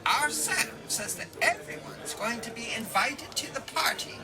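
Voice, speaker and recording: An actor playing a garrulous old rustic hobbit gardener in an audio drama, rambling and emphatic in a folksy way. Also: male voice, extremely high pitch, animated, unhurried. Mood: indignant, proud. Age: older. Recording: music and crowd murmur behind.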